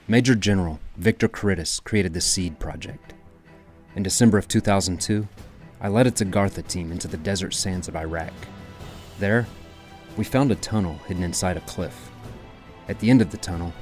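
An actor playing a serious military captain, recounting a mission as a dramatic audio drama monologue. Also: male voice, low-pitched, close to an everyday voice. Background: soft music.

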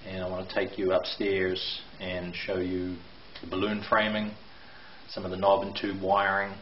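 A middle-aged man with a fairly low voice talks through a renovation walkthrough, pausing often with "um" and "uh" as he explains. He is recorded on a handheld camera mic in a bare brick basement that sounds roomy. The audio is dull and lo-fi with a faint hiss underneath. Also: completely flat delivery. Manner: explanatory, hesitant with fillers. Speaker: renovation contractor. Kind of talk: walkthrough video tour.

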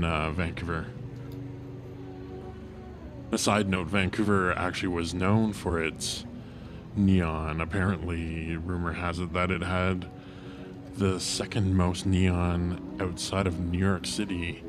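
A man narrating a casual walking tour of a city street outdoors, halting with many fillers and restarts. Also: low-pitched. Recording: noisy street traffic behind.

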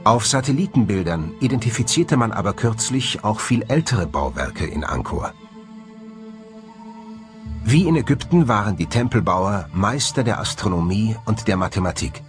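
A man presenting a documentary in scripted narration, with measured, rhetorical, emphatic phrasing. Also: on the low side, expressive, music in the background.

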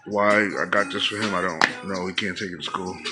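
An American man with a fairly low voice talks off the cuff to his viewers. His phrasing is slangy and laid-back, like a casual hobby show-and-tell. Music plays clearly in the background as he speaks indoors. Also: fairly even intonation, a little fast. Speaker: hobbyist vlogger. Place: indoors at home, speaking from behind the camera.

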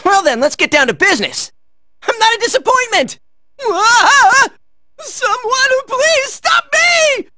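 High-pitched, excitable American teenage fighter. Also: male voice, a little fast, dry recording, studio quality, heavily acted. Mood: breezy, self-satisfied, then panicked, strained. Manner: exclamatory, brash, high-strung. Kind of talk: video game battle barks.

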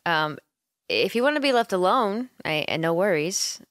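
A woman's voice: fairly low pitch, expressive, moderate pace, dry recording. A caring young woman roommate, acted by a woman in an ASMR roleplay, recorded close and dry. Her intonation swings freely as she coaxes and teases her friend, hesitant at times and playful. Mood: concerned, gently teasing. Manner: playful, gently coaxing, giggly, hesitant. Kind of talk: ASMR roleplay, intimate one-sided conversation.

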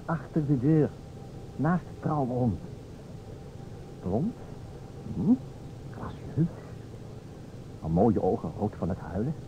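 A man's voice: medium pitch, very animated, takes it slowly, long pauses, mellow. An actor playing a playful, musing man who improvises scenes for a detective game in an old radio play, speaking hesitantly. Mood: dreamy, admiring. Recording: dull old radio recording, noisy.

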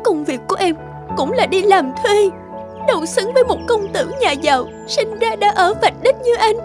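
A gentle young cartoon heroine with a high voice. She speaks earnestly and hesitantly, like someone unsure she belongs. Music plays lightly underneath. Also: expressive, moderate pace. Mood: pained, pleading. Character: gentle, insecure young working-class girlfriend. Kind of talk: animated drama dubbing.

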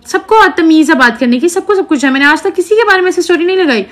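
A woman's voice: extremely high pitch, expressive, quick pace. A young woman, talking earnestly and indignantly to her followers into a phone held at arm's length indoors. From Pakistan. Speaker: social media creator. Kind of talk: selfie video complaint to followers.

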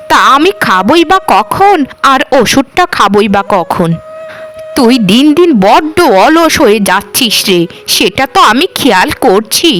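A woman voicing an elderly lady of the house in a cartoon, nagging but fond, with drawn-out phrases. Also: fairly high pitch, a bit bright. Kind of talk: cartoon voice acting.

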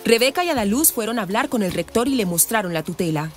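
A woman's voice: mid-range voice, expressive, quick pace, music in the background. A woman with a Colombian accent reading scripted narration for a radio drama.

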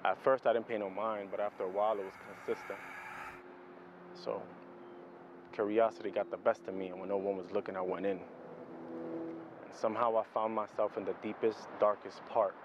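Male actor as troubled man, low voice, brooding poetic monologue, emotive pauses, roomy echoing amateur film sound. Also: level tone, highs cut off, background noise, close to an everyday voice. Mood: brooding, reminiscing. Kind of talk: dramatic film monologue with rhymed spoken-word poetry.

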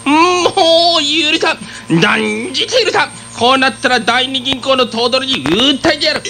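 A man's voice: very high voice, unhurried, sharp tone, slight background noise, exaggerated voice. An actor playing a stubborn, pompous father in a comic radio drama, with old-man diction and a stammer when scandalised. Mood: outraged.

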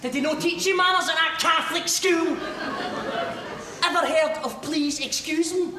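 A man playing a brash, taunting Scottish football supporter, swearing loudly in heated comic banter. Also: extremely high pitch, fairly even intonation, normal speed, a crowd in the background. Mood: mocking. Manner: loud, sweary, mocking banter. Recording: echoey, distant, noisy room recording.

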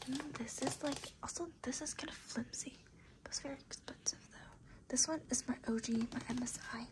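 Raspy whispering young woman. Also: high voice, normal speed. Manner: rambling, casual, with many fillers. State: losing her voice. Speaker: ASMR creator. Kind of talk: whispered ASMR desk tour.

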